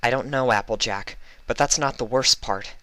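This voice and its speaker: A flustered young unicorn mare from a storybook, played by a man, pitched high and bright. She is bookish and earnest, with a nervous delivery. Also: normal speed, no room sound, natural voice. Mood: worried.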